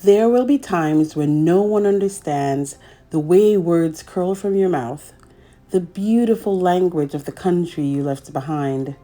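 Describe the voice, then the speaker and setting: A woman's voice: fairly low pitch, a little slow, mellow, soft background music. A woman hosting a children's read-aloud, telling a picture-book story expressively and speaking directly to young listeners.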